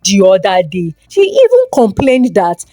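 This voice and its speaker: A woman storyteller with a Nigerian accent, giving an expressive, dramatic narration of a scripted folktale. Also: mid-range voice, measured pace, no echo, clean studio sound.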